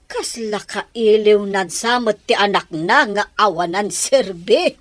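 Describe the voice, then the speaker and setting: A woman's voice: medium pitch, slow pace, no echo, natural voice. A woman with a Filipino accent acting a scheming, money-minded middle-aged mother in a radio drama.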